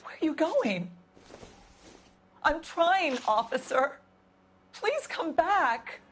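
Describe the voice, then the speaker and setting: A woman's voice: sits high, sing-song, drawn-out words, fairly bright tone, heavily acted. A woman playing a nervous housekeeper questioned by police in a video game, talking hesitantly and stammering. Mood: anxious. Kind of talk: video game interrogation scene.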